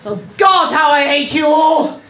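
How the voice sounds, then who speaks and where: An actress playing a sharp, sarcastic, middle-aged British boss with a foul mouth in a comedy soap. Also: female voice, high voice, lively intonation, a little slow, a little hiss or hum, exaggerated voice, poor audio. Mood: furious. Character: furious, foul-mouthed woman boss of a radio drama office. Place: office, indoors.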